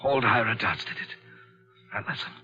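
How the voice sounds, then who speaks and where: Accused professor, lively, bright voice, dull old broadcast with music. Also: male voice, medium pitch, moderate pace. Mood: urgent. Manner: bewildered pleading, bursting into excited shouts. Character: accused American professor, anxious then elated detective. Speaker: lead actor in radio drama. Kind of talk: 1940s radio acting.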